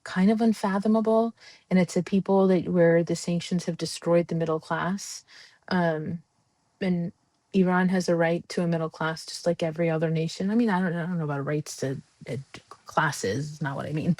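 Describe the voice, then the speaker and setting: Podcast guest, woman, earnest and fervent, low-pitched, dry close sound. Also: darkish tone, dry recording. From United States. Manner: earnest and impassioned, frequent ums and restarts. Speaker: guest, democracy advocate. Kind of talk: conversational podcast interview.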